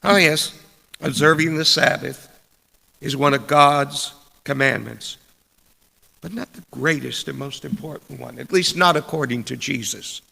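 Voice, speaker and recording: A man delivering a scripted church sermon with deliberate, emphatic phrasing and dramatic rhetorical pauses. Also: mid-range voice, vocal fry, pushed and bright. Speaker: preacher reading a sermon. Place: large indoor church space. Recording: echoey hall with long reverb.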